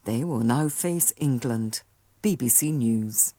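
Woman newsreader, very low and mellow, formal and measured. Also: breathy edge, no echo. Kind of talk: news bulletin reading.

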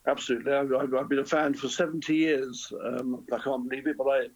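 A man in his seventies being interviewed live on radio. His voice is rough and husky at a middling pitch, and he repeats words hesitantly. The sound is a little dull and narrow, as if on a remote line. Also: fairly even intonation, quick pace. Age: 70s. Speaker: interview guest, former football club chairman.

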